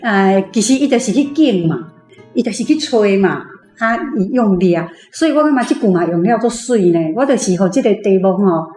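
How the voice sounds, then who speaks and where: A woman's voice: mid-range voice, expressive, fairly quick, dark tone, roomy sound, faint music behind. A Taiwanese woman asking questions as a book club member in a casual discussion.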